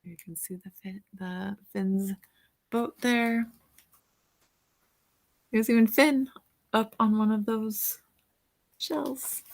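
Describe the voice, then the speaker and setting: A woman's voice: on the high side, steady tone, takes it slowly, gravelly, long pauses. A woman reading a picture book aloud as a teacher-storyteller, stretching out words and pointing out details to young listeners.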